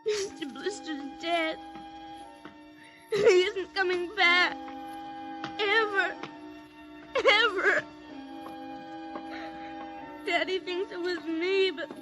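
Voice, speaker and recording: A woman's voice: extremely high pitch, music in the background, heavily acted. An actress playing a stubborn, plaintive nine-year-old girl with an innocent yet eerie air, in 1950s radio drama style. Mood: eerie, accusing. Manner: plaintive, slow, insistent.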